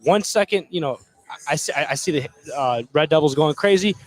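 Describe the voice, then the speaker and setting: Guy doing color commentary on hoops, kinda high voice, informal and slangy. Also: animated, a little fast, rough recording. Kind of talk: casual live basketball commentary. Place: indoor gymnasium, courtside.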